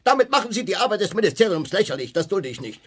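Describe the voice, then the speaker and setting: An authoritarian minister in a radio play. The voice is husky and fairly high for a man, with a formal, officious, clipped manner. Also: big pitch swings, racing, fairly bright tone, exaggerated voice. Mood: cold, commanding. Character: stern, authoritarian government minister reprimanding subordinates. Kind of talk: German radio drama acting.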